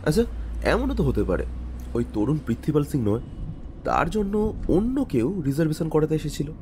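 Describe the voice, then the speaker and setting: A man's voice: a little high, very animated, moderate pace, mellow, slight background noise. A man playing an inquisitive doctor, the detective's companion in an audio drama, who questions and doubts in a teasing way. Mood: speculating.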